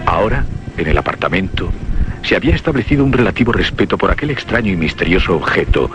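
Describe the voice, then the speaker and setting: An actor as the grave narrator of a radio horror tale, reading literary prose with a Castilian Spanish accent. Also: male voice, sits low, expressive, fast, background noise. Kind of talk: scripted literary suspense narration.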